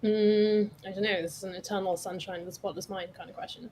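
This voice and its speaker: A woman's voice: mid-range voice, fairly even intonation, fast, a little mellow, a little hiss or hum. A woman chatting casually as co-commentator on a game playthrough, with a dry, thoughtful manner. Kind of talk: casual chat.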